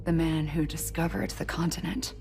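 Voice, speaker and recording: Woman acting low-voiced solemn, mysterious woman. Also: little pitch movement, moderate pace, music in the background, lightly acted. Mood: tender, confiding. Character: solemn, mysterious woman burdened by an apocalyptic secret. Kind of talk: dramatic game cutscene dialogue.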